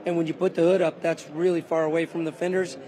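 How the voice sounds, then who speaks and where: A car builder who is losing his voice, calmly giving a detailed walkaround of a custom car on a show floor. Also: on the high side, fast talker, rough recording. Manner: detailed, matter-of-fact.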